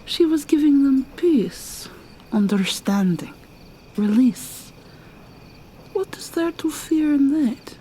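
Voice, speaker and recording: A woman voicing a seductive, menacing vampire mermaid villainess in an ASMR roleplay, taunting and purring with sudden high swoops. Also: animated, takes it slowly, pauses often, dark tone, slight background noise, poor audio.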